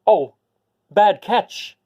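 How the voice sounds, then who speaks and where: A man's voice: high voice, expressive, extremely slow, dark tone, dull audio, pitched above the performer's own voice, exaggerated voice. A man voicing an oblivious young fairy-tale princess, blithely calling down from above.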